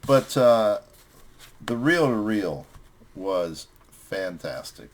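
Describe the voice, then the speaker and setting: A man's voice: lowish voice, sing-song, drawn-out words, slight background noise. A man hosting a music vlog, chatting casually as he reviews records with friends.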